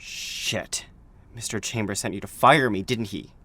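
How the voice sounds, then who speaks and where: A man's voice: fairly low pitch, a little slow, bright, forceful tone, no echo. A man playing a friendly, beleaguered office employee in an audio drama, with a casual, familiar way of talking. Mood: worried. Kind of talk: naturalistic audio drama acting.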